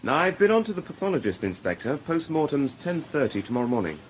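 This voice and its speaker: A man's voice: a little high, very animated, fast talker, background noise. A man acting a candid hospital doctor in a radio drama, British and conversationally matter-of-fact. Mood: brisk, candid. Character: brisk, candid male hospital casualty doctor.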